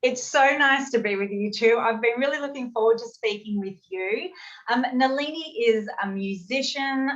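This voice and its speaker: A woman's voice: on the high side, moderate pace. Woman hosting an interview, slightly muffled. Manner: warm encouraging backchannels, chatty. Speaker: interview show host. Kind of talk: conversational interview. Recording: slightly dull lo-fi sound.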